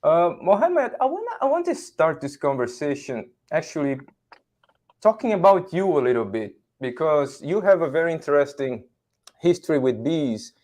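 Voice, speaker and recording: A man's voice: fairly high pitch, big pitch swings, moderate pace, darkish tone. A man hosting a livestreamed podcast interview, speaking conversationally to his audience and guest. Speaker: podcast host.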